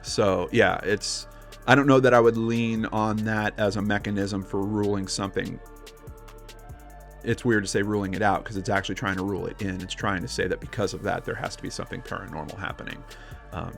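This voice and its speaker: A man co-hosting a casual discussion, reasoning aloud in a skeptical, measured manner. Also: fairly low pitch, fairly even intonation, creaky, fairly bright tone, soft background music. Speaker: podcast co-host.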